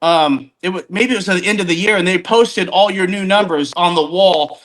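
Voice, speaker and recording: A man's voice: high-pitched, moderate pace, a bit bright, low-quality recording. A man in his fifties chatting casually, rambling and often restarting his sentences as he tells stories. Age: 50s. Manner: rambling, self-interrupting storyteller. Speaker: podcast guest. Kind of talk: casual chat.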